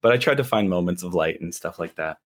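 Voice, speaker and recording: A man with a somewhat low voice chats loosely as a podcast guest. He talks in long, meandering sentences full of "like" and small laughs. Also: fast. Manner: chatty, many "like" fillers, laughs easily. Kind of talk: casual interview chat.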